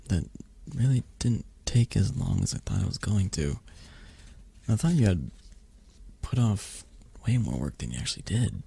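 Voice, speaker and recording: A man playing a teasing, affectionate young dragon boyfriend in an intimate ASMR roleplay, speaking softly and haltingly with breathy cooing. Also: on the low side, no echo, full character voice.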